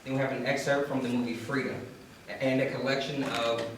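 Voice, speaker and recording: A man's voice: mid-range voice, flat delivery, a little fast. A man reading aloud with dramatic and comic delivery as a performer at a wedding. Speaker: reader at a wedding reader's theater. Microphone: distant from speaker. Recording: echoey room, distant mic, faint noise.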